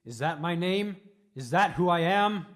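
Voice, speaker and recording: A man's voice: high-pitched, expressive, measured pace. A man playing a bewildered amnesiac prisoner in an improvised radio comedy, with a melodramatic, urgent, questioning delivery. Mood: demanding, confused. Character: bewildered amnesiac prisoner desperately clinging to sanity.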